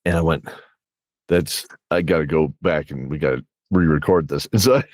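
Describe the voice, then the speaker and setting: A man's voice: extremely low pitch, normal speed, darkish tone, dry recording. A man hosting a casual podcast interview, chatting conversationally with his guest. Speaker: podcast host and producer.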